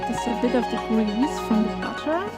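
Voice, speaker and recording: A woman's voice: a little high, moderate pace, loud music behind the voice. A German woman streaming live from her home kitchen while baking, chatting casually with viewers and laughing often. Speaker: cooking streamer.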